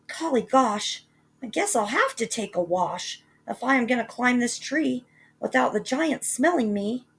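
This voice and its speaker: A woman doing a cheeky, excitable young boy. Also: a little slow, vocal fry, breathy edge, heavily acted. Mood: breathless alarm. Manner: high, eager and breathless.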